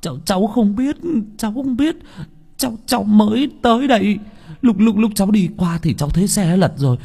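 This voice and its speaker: A man's voice: high-pitched, very fast, vocal fry, mellow, slightly rough, big-room sound, voice pushed up, exaggerated voice. A man voicing a panicked young village man, stammering and repeating words.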